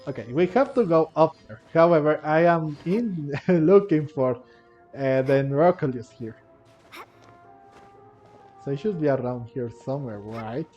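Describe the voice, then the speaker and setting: A man's voice: a little high, unhurried, warm tone, muffled recording. A man giving casual playthrough commentary on a video game, musing hesitantly as he thinks aloud. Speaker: gaming video creator, player. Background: game music.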